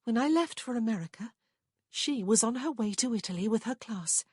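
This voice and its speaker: A woman's voice: a little high, animated, moderate pace, slightly rough, no echo, clean studio sound, voice pushed up. A woman putting on a tender, breathless young lady. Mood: confiding.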